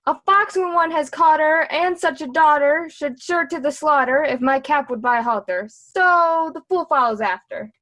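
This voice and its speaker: A woman's voice: high voice, normal speed, few pauses, fairly bright tone. A woman playing a cheeky, riddling boy jester with a teasing, sing-song delivery in Shakespeare. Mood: sing-song mocking. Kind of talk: Shakespearean reader's theatre acting.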